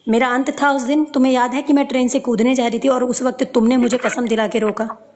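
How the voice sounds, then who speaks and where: A woman with a fairly high voice speaks in an emotional personal argument. She is insistent and pleading, circling back over her phrases as she talks. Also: fairly even intonation, a little fast, darkish tone, highs cut off. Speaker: participant in a three-way personal phone argument.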